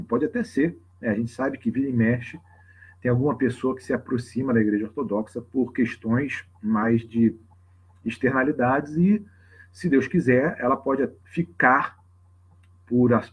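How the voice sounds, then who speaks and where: A man with a husky voice and a Brazilian Portuguese accent, explaining things informally and off the cuff to a livestream audience, often checking in with tag questions. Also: mid-range voice. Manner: conversational, frequent tag questions. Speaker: religious teacher hosting a live open class. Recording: slightly dull lo-fi livestream audio.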